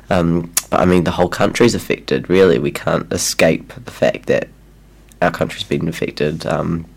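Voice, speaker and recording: A man's voice: low-pitched, vocal fry. A young man with a New Zealand accent hosting a community radio show in a casual, chatty way, still getting over a cold. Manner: chatty, many ums, laughs easily. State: recovering from a cold.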